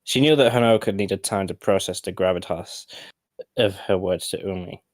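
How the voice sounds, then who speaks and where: A man narrating a story as an amateur, halting and often correcting himself. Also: low voice, no room sound. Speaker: narrator of fan fiction storytime.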